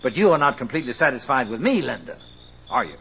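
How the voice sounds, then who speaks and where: An actor playing a hot-tempered, bossy and exasperated fiance in 1940s radio drama style. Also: male voice, mid-range voice, very animated, quick pace, fairly bright tone, husky, exaggerated voice. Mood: bitter. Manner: brusque, commanding, exasperated. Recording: dull, hissy old radio recording.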